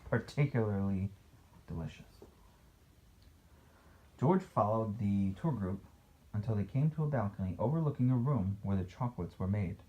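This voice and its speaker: A man's voice: on the low side, animated, normal speed, pauses often, highs cut off. A man reading a picture book aloud as a father to a child, playful, with casual asides and questions.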